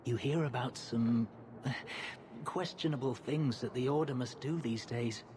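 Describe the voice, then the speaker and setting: An actor playing a cautious, dutiful knight recruit in a video game, guarded and uneasy in manner. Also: male voice, mid-range voice, a little slow, a crowd in the background, natural voice. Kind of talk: video game dialogue acting.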